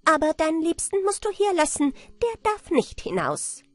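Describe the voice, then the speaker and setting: A woman voicing a scrawny, greedy, spiteful male villain from a fairy tale, shrill and shouting. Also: very animated, normal speed, no room sound. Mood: gloating. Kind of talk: storybook reading.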